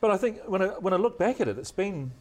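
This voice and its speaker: A middle-aged man with a deep voice and a New Zealand accent, chatting as a guest in a relaxed, modest, measured way. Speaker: guest, news presenter. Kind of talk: relaxed interview chat.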